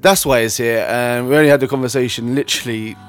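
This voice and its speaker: A man's voice: mid-range voice, fairly even intonation, fast talker, a little hiss or hum. A man hosting a music radio show, chatting keenly about new releases and calling people mate. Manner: enthusiastic, slangy, says mate.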